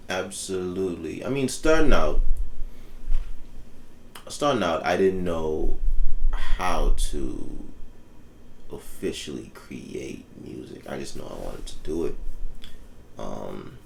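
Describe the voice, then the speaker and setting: Dude talking music, super deep and laid back, lots of ums, kinda roomy echo. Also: male voice, lively intonation, takes it slowly, gravelly, pauses often. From The Bahamas. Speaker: musician guest. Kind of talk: casual interview chat. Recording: echoey room with faint background noise.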